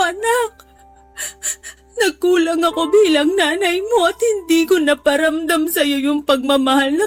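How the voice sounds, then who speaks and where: A woman playing a remorseful, protective mother in a radio drama, speaking in a tearful, pleading, emotional way. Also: very high voice. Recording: faint music bed behind the voice.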